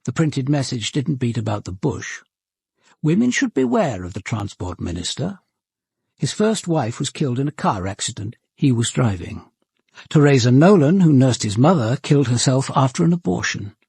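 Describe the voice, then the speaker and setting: An actor playing a grave, authoritative senior police detective in a British radio drama, speaking in a measured, articulate British accent with dry understatement and a husky voice. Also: male voice, mid-range voice, sing-song, a little mellow, dry recording, heavily acted. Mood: measured, reflective. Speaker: lead actor and narrator. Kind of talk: radio drama acting and narration.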